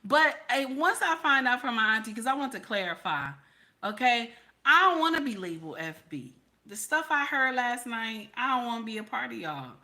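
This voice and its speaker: A woman talks straight to her livestream audience in a bright, forceful voice. She is emphatic and reassuring, circles back to the same points, and keeps tagging her lines with "okay?" Her tone stays casual and unpolished, with the odd curse. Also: fairly high pitch, expressive, normal speed. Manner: reassuring, frank and conversational, with some swearing. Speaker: livestream host. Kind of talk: casual livestream talk to viewers.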